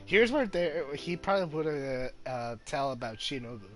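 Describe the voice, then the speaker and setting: Guy commentating a game, slow n hesitant, bright slightly high voice, bit of background noise. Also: expressive, faint music behind. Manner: rambling, hesitant, amused. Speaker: gamer, video host. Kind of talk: casual gameplay commentary.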